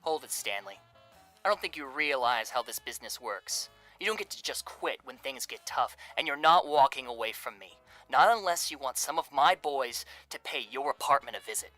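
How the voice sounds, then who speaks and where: Man acting a 1930s mob boss, slick, falsely genial, threatening, bright forceful voice, music behind. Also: mid-range voice, expressive, normal speed. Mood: menacing. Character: smooth, menacing male crime boss of Depression-era New York. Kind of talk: 1930s gangster radio drama acting.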